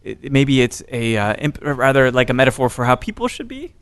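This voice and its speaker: Lively, animated man hosting a podcast. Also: medium pitch, smooth, dry recording, clean studio sound. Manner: playful, jokey, quick to laugh. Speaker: podcast host. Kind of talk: casual interview chat.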